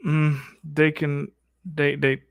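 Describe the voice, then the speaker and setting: A man's voice: fairly high pitch, completely flat delivery, slow pace, creaky, dry recording, highs cut off. A man hosting a casual livestream Q&A with slangy, mock-exasperated banter. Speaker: livestream host.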